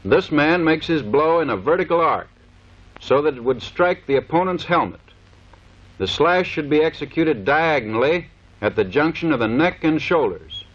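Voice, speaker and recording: A man's voice: on the high side, lively intonation, moderate pace, a bit bright. An American man giving formal, clipped, scripted instructional narration in the manner of a 1930s military training film. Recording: dull vintage 1930s film soundtrack.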